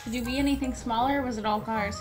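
A woman with a high voice teases her friends and calls out driving tips as they play. She talks from a living room couch, and loud game music plays behind her. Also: fairly even intonation, quick pace. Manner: teasing, giving driving tips. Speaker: let's play co-host. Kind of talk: casual gameplay banter.

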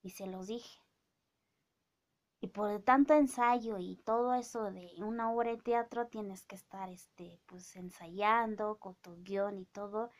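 Slow-talking indignant Mazatec woman vlogger. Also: mid-range voice, steady tone. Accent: Mazatec-accented Mexican Spanish. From Oaxaca, Mexico. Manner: indignant, scolding, drawn-out speech with many fillers. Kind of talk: casual opinion rant to camera. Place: small indoor room with bookshelves. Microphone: phone camera at arm's length.

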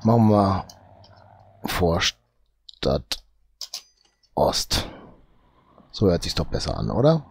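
A man with a cold talks through his gameplay in a low, unhurried voice. He mutters to himself and drags his words out, often tagging a quick "ne?" onto the end. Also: huge pitch swings, creaky, breathy edge. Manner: muttering, drawn-out, tags lines with "ne?". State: has a cold. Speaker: let's play host. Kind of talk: slow, thinking-aloud gameplay commentary.

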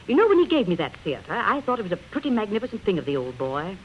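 An actress playing an aging, witty, grand stage star in wry, theatrical 1930s radio style. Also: female voice, medium pitch, sing-song, rapid-fire, lightly acted. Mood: wry complaining. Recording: dull, noisy 1930s radio broadcast.

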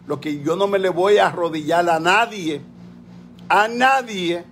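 A man's voice: high voice, measured pace, a bit bright. A man in his sixties with a Dominican Spanish accent, delivering a fiery political rant, hammering his points by repeating words, filmed on a phone at arm's length in a small room at home. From Dominican Republic. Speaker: political commentator. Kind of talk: impassioned political rant on a live call.

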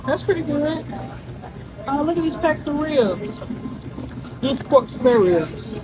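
A woman's voice: high-pitched, lively intonation, slow pace, over music, rough recording. A woman, chatting to viewers as she shops and reads prices aloud. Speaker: vlogger. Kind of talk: casual shopping vlog. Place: indoor meat store, supermarket aisles. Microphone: phone held by hand, selfie camera. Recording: phone audio with clear store noise.

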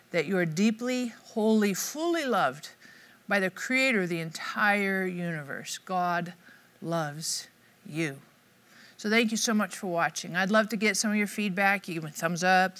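A woman's voice: medium pitch, sharp tone. A middle-aged woman giving an upbeat, conversational devotional teaching to camera in a studio. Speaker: Bible teacher. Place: dark studio set, indoors.

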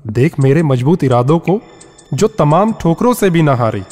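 A man presenting a radio programme with scripted, expressive and measured narration. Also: fairly high pitch, no room sound, soft background music.

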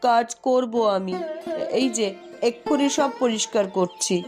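Woman acting a sulky young cartoon princess, fairly high voice, complaining, cheeky back-talk, music clearly behind. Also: measured pace, lightly acted. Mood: indignant. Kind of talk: cartoon story dialogue.

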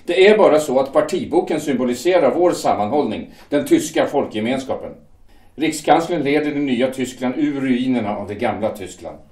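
A man's voice: medium pitch, animated, a little mellow, echoey room, recorded from a distance, natural voice. An actor playing a working man who defends joining the party to his brother, earnest and persuasive. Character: shipyard foreman turned party loyalist, brother. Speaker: Erich, older brother. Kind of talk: amateur audio drama acting.

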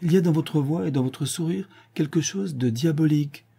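A man's voice: on the high side, moderate pace, creaky, dark tone, breathy edge, voice pushed up. A man lifting his voice into a teasing young countess. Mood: anxious. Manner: coquettish, lightly mocking.

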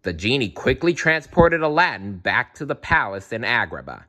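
A man reading a children's storybook aloud in an animated style, with playful asides to the listener. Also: medium pitch, moderate pace. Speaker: storybook reader.

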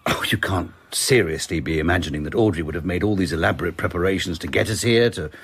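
An indignant husband being questioned by police in a radio drama. His voice is fairly low and husky. He protests defensively. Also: fast. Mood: indignant, flustered. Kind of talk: radio play acting.

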